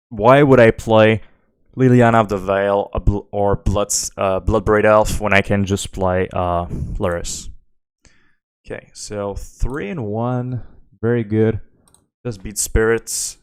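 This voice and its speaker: A man's voice: fairly low pitch, smooth, no room sound, studio quality. A French Canadian man whose first language is French, streaming a card game and thinking aloud while answering chat questions. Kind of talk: live gameplay commentary.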